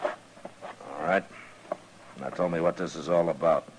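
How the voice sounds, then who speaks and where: An actor playing a steady, authoritative frontier marshal in an old radio western, laconic, firm and dryly wry. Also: male voice, extremely low pitch, measured pace. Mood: firm, commanding. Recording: noisy vintage radio recording.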